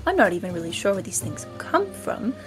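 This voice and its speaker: A woman's voice: mid-range voice, sing-song, brisk, over music, lightly acted. A woman voicing a polite, nervous, chatty male scholar of arcane languages, stammering eagerly in a tabletop game. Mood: quietly sceptical.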